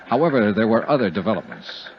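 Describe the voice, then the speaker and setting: An American man playing a tough yet tender insurance investigator and narrator in a 1950s radio drama, in measured, clipped phrases. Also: fairly low pitch, very animated, noisy background. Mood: dry, matter-of-fact. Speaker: lead actor and narrator. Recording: dull 1950s radio recording, music underneath.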